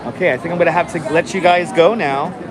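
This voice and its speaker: A man hosting a casual live stream, chatting calmly and enunciating clearly while he waits in line outdoors. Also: on the high side, fast, a crowd in the background. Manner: calm, enunciated, chatty with viewers. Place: outdoors on a city street waiting in line. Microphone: phone held for a live stream. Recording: noisy outdoor phone stream. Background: city traffic and street noise.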